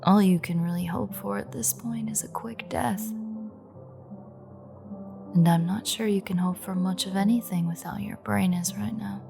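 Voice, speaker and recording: A woman's voice: mid-range voice, fairly even intonation, normal speed, creaky, over music, natural voice. A woman playing a sardonic, threatening female vampire with a secret fondness, in a wry, teasing, half-whispered audio roleplay. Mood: resigned, menacing. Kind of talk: intimate audio roleplay acting.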